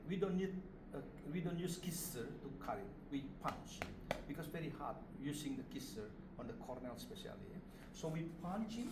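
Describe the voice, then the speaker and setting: Indonesian man demonstrating, distant in noisy room. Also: fairly high pitch. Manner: short phrases in simple non-native English. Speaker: puppet maker giving a demonstration. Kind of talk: informal craft demonstration to visitors. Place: roomy puppet studio. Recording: roomy, distant mic, loud background noise.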